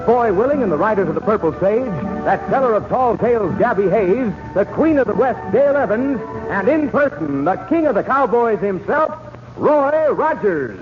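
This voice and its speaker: A man's voice: high voice, animated, normal speed. A man announcing an old-time radio western in a grand, proclaiming style. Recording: muffled old radio recording, loud music behind.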